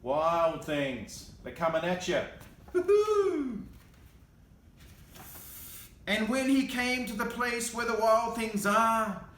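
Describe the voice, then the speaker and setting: A man's voice: high-pitched, big pitch swings, slow pace, long pauses, a bit bright, echoey room. A man reading a picture book aloud expressively, with playful, casual asides and exclamations as he goes. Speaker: storyteller.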